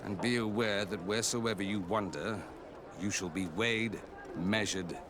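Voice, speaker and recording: An actor playing a menacing, zealous inquisitor in a video game, speaking in solemn, threatening declamations. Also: male voice, lowish voice, measured pace, background noise, natural voice. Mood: stern, ominous. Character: zealous menacing male inquisitor, religious fanatic.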